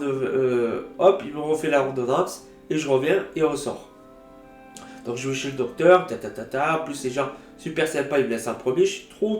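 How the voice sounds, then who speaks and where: A man with a cold, telling a story in a casual vlog in a lively, animated way with sound effects. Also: a little high, roomy sound. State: has a cold. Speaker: vlogger.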